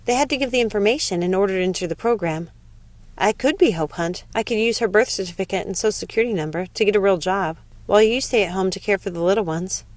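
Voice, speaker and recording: A woman's voice: medium pitch, quick pace, no room sound, natural voice. A woman voicing a practical, reassuring young nurse in an audiobook story.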